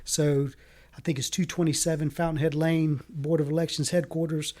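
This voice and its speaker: A man raised in the American South whose first language was Greek, talking earnestly in a casual interview, with many halting fillers. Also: a little high, little pitch movement, darkish tone. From Fayetteville, North Carolina. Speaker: city council candidate.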